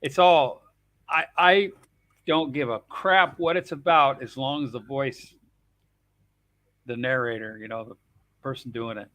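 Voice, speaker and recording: Bright-voiced rambling man, dry and close. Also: medium pitch, steady tone, a little slow, pauses often, dry recording. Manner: rambling, loose and profane. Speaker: live stream panel host. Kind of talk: casual chat.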